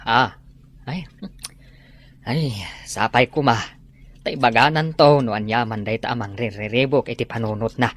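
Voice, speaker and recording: A man playing a calm, reassuring husband and father in a radio drama. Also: medium pitch, normal speed, lightly acted.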